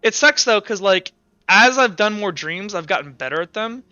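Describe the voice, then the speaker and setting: High-voiced man in a roomy, echoing recording. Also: lively intonation, moderate pace, sharp tone. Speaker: game master. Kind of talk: casual talk running an online tabletop roleplaying game. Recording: roomy echo, dull lo-fi sound. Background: music.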